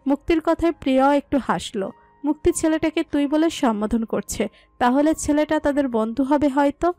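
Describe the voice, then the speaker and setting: A woman's voice: high-pitched, moderate pace, warm tone, no room sound, faint music behind. A woman reading scripted narration for a romantic story.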